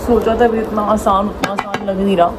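A woman's voice: mid-range voice, level tone, a little fast. Casual woman vlogger, noisy room. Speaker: vlogger and salon owner. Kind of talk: casual vlog chat, mixing English phrases. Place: indoor salon rooms being set up. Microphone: camera held at arm's length. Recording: loud room noise, handheld camera audio. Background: loud ambient room noise.

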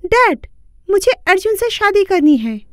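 A woman's voice: very high voice, big pitch swings, measured pace, faint music behind, voice pushed up, exaggerated voice. A woman voicing a spoiled, stubborn, haughty young woman in a cartoon story, demanding and petulant. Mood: insistent. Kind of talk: Hindi cartoon moral story acting.

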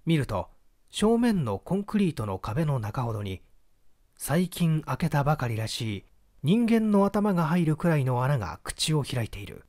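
Male audiobook reader for mystery fiction, dramatic and expressive with wide intonation, close dry sound. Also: a little high, normal speed, dry recording. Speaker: audiobook narrator. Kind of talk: dramatic scripted mystery narration.

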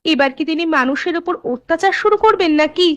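A woman's voice: very high-pitched, expressive, brisk, no echo, highs cut off. A woman voicing an anxious, fretful wife of a poor farmer in a cartoon, given to exclamations. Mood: alarmed, worried. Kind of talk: Bengali cartoon voice acting.

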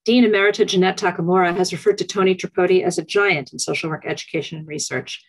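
A woman's voice: on the low side, fairly even intonation, slightly rough. A middle-aged woman reading a formal, scripted introduction as dean over a video call. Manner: measured, formal, reads from notes.

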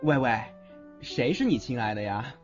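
A man's voice: medium pitch, lively intonation, normal speed, close to an everyday voice. A young man acting a playful but protective young man in a Chinese audio drama. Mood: teasing. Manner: playful, teasing. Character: teasing, protective young man with secret tenderness. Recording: dull lo-fi audio with music.

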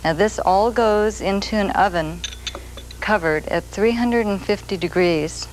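A woman in her forties talks slowly and gently as she walks through a recipe on a studio kitchen set. Her voice sits a little low. The sound is an old videotape copy with clear hiss underneath. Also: low-quality recording. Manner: slow, gentle and soft-spoken. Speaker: cooking show host. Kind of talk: TV cooking demonstration. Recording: grainy 1980s videotape with noise.

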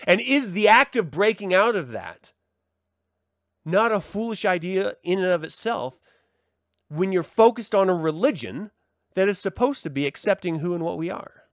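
Higher-voiced man musing aloud, dry close sound. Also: lively intonation, normal speed, no echo. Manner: musing, rhetorical questioning, emphatic stresses. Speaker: livestream host and religious reverend. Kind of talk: reflective live monologue to an online audience.

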